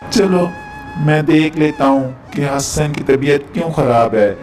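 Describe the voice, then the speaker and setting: A man's voice: a little high, unhurried, darkish tone, roomy sound, natural voice. A man voicing a confident, reassuring doctor who explains things in a cartoon moral story. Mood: assured. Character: confident male doctor reassuring a worried family. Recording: music behind the voice.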